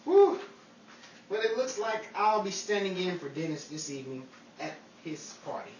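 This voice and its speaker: A man's voice: sits high, big pitch swings, normal speed. A man hosting an old-time radio-style show, delivering scripted sign-offs. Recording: roomy, distant mic, faint noise.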